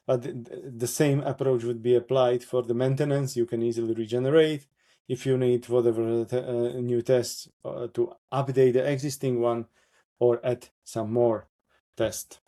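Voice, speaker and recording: A man with a Slovak accent, presenting a live software workshop, talking through a demo with many fillers and self-corrections. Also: mid-range voice.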